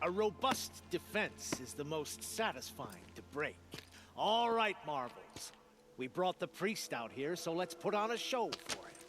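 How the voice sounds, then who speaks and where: Casting: cruel commander, fairly high lively voice, showy barking delivery, game drama over music. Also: male voice, a little slow. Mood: cruel relish. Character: swaggering, cruel male military commander. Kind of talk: dramatic video game acting.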